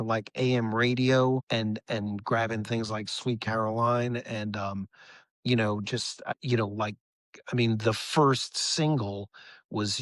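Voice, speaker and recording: A man talking casually as a musician guest on a podcast, effusive and halting, with many fillers. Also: on the low side, a bit bright, no room sound.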